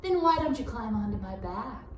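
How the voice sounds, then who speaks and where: A woman voicing a sly male fox with a smooth, coaxing, smirking manner. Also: a little high, lively intonation, moderate pace, a little mellow, reverberant, distant mic, slight background noise, close to an everyday voice.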